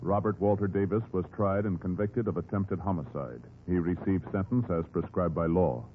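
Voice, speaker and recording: Deep formal American radio announcer, man. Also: moderate pace, phone-quality sound, very noisy. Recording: vintage radio, thin band, loud music.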